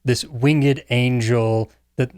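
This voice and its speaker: Male podcast guest-chair voice, average pitch, casual film talk, dry studio-like closeness. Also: fairly even intonation, slow pace, a little mellow, no echo. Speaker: podcast panelist. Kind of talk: casual chat about movies.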